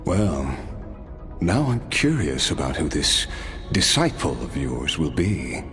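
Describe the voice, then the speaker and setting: Game casting: wry immortal fighter, wistful and weary, low voice, slow delivery, music underneath. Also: male voice, big pitch swings, heavily acted. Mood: wry, amused. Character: immortal old warrior, weary, wistful, wryly good-humored man. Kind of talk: dramatic video game voice acting, storytelling.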